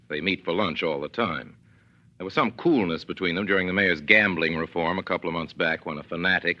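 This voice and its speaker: A man narrating a scripted 1940s radio play with measured, wry authority. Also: deep voice, natural voice. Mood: confiding, measured. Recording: dull 1940s radio recording.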